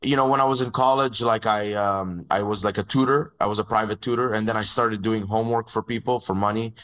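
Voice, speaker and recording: A young man from Dubai, telling his agency story in a fast, casual way full of "like"s. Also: lowish voice, fairly even intonation, a bit bright. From born in Egypt, grew up in Dubai. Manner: fast, filler-heavy talk with many "like"s, acts out dialogue. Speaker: agency founder, guest.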